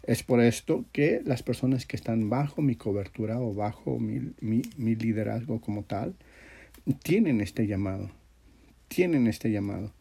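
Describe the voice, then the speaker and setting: A man gives an informal religious teaching in a soft-edged, mellow voice. He sounds husky and congested, as if with a sore throat. His delivery is earnest and halting, often repeating words as he goes. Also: lowish voice. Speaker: religious teacher leading an audio class. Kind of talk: informal sermon and prayer.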